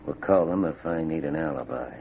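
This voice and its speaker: Surly, menacing frontier gang boss, very low voice, radio drama on a muffled, noisy recording. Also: male voice, fairly quick. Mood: surly, uneasy. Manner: curt, gruff, menacing. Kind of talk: 1950s radio drama acting.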